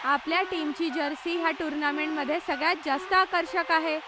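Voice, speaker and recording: An actor voicing a bright, confident, encouraging schoolgirl in a children's cartoon. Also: high-pitched, fairly even intonation, a little fast, background noise, close to an everyday voice. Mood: upbeat, confident.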